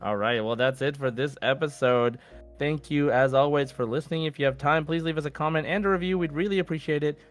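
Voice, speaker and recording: A man's voice: a little high, a little fast, soft background music. A man co-hosting a podcast, talking to listeners in a casual, informal and conversational way. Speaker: podcast co-host.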